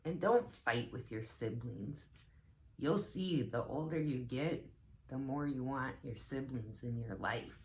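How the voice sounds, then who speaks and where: A woman with a low voice leads story time for young children. She reads at an unhurried, slow pace and adds simple, instructive explanations. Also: a little mellow, low-quality recording. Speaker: teaching assistant reading story time. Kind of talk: children's storybook read-aloud with explanations to kids.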